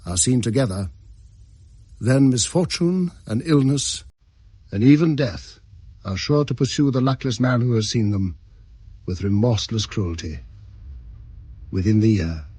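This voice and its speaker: An actor playing a grave upper-class gentleman in a period ghost story audio drama, husky, formal and measured in manner. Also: mid-range voice, dry recording. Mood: ominous storytelling. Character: grave upper-class gentleman brother recounting a dark legend.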